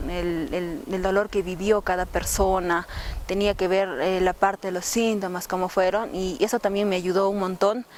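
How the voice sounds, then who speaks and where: A Peruvian-accented woman speaks in an interview at an average pitch. She feels her way through sentences hesitantly, with faint noise around her. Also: darkish tone. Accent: Peruvian Spanish. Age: 30s. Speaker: radio drama performer. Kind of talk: interview testimony.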